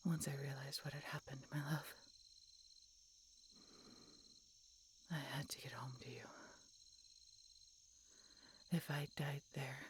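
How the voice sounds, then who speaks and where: A woman playing an ancient, mortally wounded female dragon in an audio roleplay, breathy and halting, tender through weakness and pain. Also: low voice, darkish tone, a little hiss or hum. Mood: loving, sorrowful. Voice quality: breathy, whispery. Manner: halting, weakened, pained delivery with dramatic pauses. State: weak and in pain. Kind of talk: dramatic audio roleplay addressed to listener.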